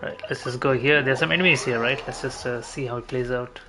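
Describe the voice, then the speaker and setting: A man's voice: mid-range voice, steady tone, a little fast. Male game streamer, casual and hesitant, working things out aloud over prominent game music. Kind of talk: casual gameplay commentary, thinking aloud. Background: video game music.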